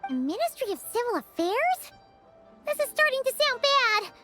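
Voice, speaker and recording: A woman voicing a tiny, excitable, childlike fairy companion in a video game, squeaky and exclamatory. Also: moderate pace, faint music behind, full character voice. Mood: alarmed. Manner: squeaky, exclamatory, speaks in third person. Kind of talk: video game character acting.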